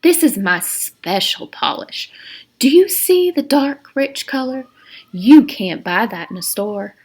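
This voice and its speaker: A woman reading aloud the part of a coolly menacing camp warden in a storybook, deliberate and falsely polite. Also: sits high, expressive, husky, voice pushed up, exaggerated voice. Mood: quietly menacing.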